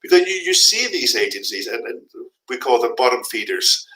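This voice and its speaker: A man's voice: medium pitch, big pitch swings, normal speed, bright, forceful tone. A middle-aged man, a freelance translator, giving frank, blunt advice as a guest in a live interview. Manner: frank, blunt warnings with repeated emphasis. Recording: echoey room.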